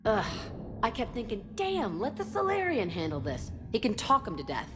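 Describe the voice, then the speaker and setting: An actress playing a tough, foul-mouthed, fiercely protective young combat instructor in a video game, brash and sardonic. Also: female voice, fairly high pitch, huge pitch swings, moderate pace, music in the background. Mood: brash, confiding. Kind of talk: video game dialogue acting.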